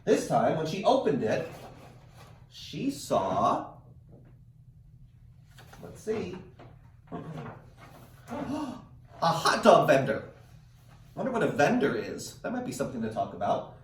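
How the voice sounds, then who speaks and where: Male storyteller for children's read-aloud, animated and dramatic, heavily reverberant room sound. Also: fairly high pitch, a little hiss or hum. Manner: dramatic, drawn-out word emphasis. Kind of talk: expressive children's picture-book read-aloud with asides to parents and kids. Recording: echoey room, distant microphone.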